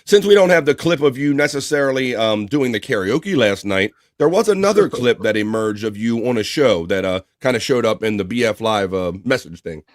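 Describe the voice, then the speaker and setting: A man with a deep, bassy voice hosting a casual live talk show, rambling and cursing loosely in friendly banter. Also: expressive, fairly quick, few pauses, dry recording, clean studio sound. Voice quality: deep bass voice. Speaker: livestream talk show host. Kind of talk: casual chat.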